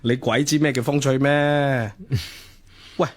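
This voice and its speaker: A man's voice: mid-range voice, fairly even intonation, slow pace, lightly acted. An actor with a Hong Kong Cantonese accent playing a cocky, flippant young student womanizer in radio drama dialogue, teasing and dispensing mock wisdom. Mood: flippant, prodding.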